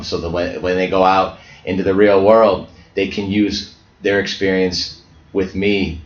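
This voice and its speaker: A man who coaches high school basketball, from Ohio, speaking earnestly as an interview guest and often restarting his words. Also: a little hiss or hum. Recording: echoey dull lo-fi audio.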